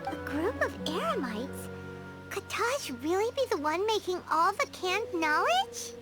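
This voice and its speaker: A woman voicing a small, childlike fairy companion in a video game, squeaky, earnest and inquisitive. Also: sing-song, a little slow, full character voice. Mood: curious. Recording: loud background music.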